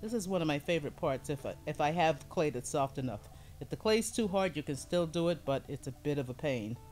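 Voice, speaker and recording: A middle-aged woman with a low voice teaching a hands-on pottery lesson in a studio, explaining each step calmly as she works. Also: fairly quick, soft background music, poor audio. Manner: calm, explains step by step while working with hands. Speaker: ceramics teacher.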